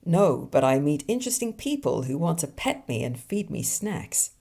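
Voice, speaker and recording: A woman voicing a wisecracking male deer detective in a film noir drawl, delivering deadpan puns. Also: deep voice, moderate pace, creaky, exaggerated voice. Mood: hardboiled deadpan.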